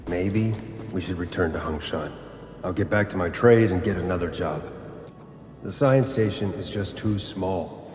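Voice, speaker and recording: A weary, worried father in a video game memory. His deep voice rings with long reverb over faint music. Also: a little slow, darkish tone. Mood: worried, resigned. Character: weary, worried father in a remembered family argument. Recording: echoey hall reverb, music behind.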